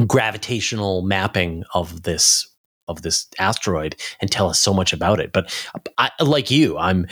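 Man, lowish voice, enthusiastic science explainer, informal interview chat. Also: slightly rough. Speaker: podcast guest and space science commentator.

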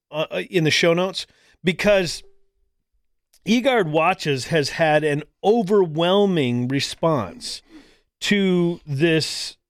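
A man hosting a talk show, chatting casually in a rambling way, full of ums and restarts. His voice sits a little high for a man. The studio sound is dry and close. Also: clear, resonant tone, no room sound. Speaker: podcast and radio show host. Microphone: studio microphone.

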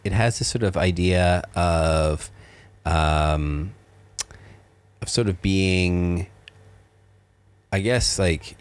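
A middle-aged man co-hosting a father-son podcast, talking in a rambling way with many fillers and restarts. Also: low-pitched. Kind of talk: casual podcast chat.

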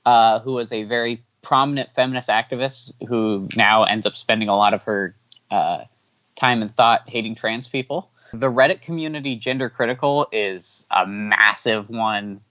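A man's voice: on the low side, a bit bright, dry recording. Man, non-native english speaker, hesitant, lots of ums, muffled lo-fi sound, dry. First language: not English. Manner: halting, many fillers and restarts. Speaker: podcast guest. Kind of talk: interview answers, candid. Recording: dull lo-fi audio.

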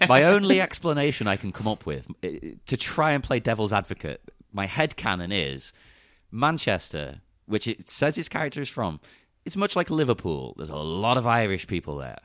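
Male guest, conversational, highly varied intonation, very dry and close recording. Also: very animated, normal speed, fairly bright tone, no room sound. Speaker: podcast guest. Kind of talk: casual chat.

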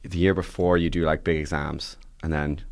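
A man's voice: extremely low pitch, flat delivery, moderate pace, vocal fry, dry recording, clean studio sound. A man, a podcast guest chatting casually and scattering "like" through his sentences. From Ireland. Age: 20s. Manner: rambling, with frequent "like" fillers. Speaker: podcast guest, startup CEO. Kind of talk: casual interview chat.

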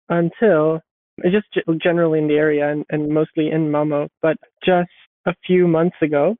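A woman answers interview questions in a thoughtful way, circling back and restarting her sentences as she searches for the right words. Her voice comes through a narrow, phone-like remote line. The sound is dry, with no room echo at all. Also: low voice. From Sweden. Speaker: guest, software developer.

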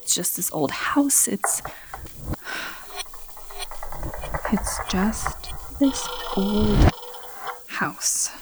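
A woman playing a sleepless, anxious and bitter young woman, talking haltingly and sardonically into an audio diary in a found-footage drama. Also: mid-range voice, expressive, over music, low-quality recording. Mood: anxious, pleading. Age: young adult. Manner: sardonic, weary, halting, sweary, sighing.

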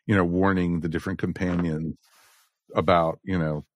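A man with a deep voice, captured very dry and close. He chats casually as one of a podcast review panel, sharing opinions in an informal way. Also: flat delivery, normal speed, no room sound. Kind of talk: casual chat.

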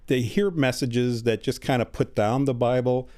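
A man in his sixties, a studio interview guest, answering in a measured way with many hesitant fillers. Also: medium pitch, fairly even intonation, a little mellow. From United States.

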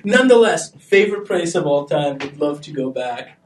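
Young guy from albania, casual chat, gets excited telling stories, echoey room. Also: a little high, normal speed, far from the mic. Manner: animated, grows excited when telling stories. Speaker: podcast panelist. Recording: roomy, off-mic sound.

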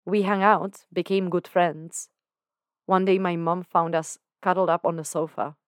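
A woman's voice: on the low side, fairly even intonation, measured pace, no room sound, studio quality, close to an everyday voice. A woman voicing an emotional young man who talks in an earnest, candid way with casual slang. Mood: confessional. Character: young man, emotional and confessional, self-doubting.

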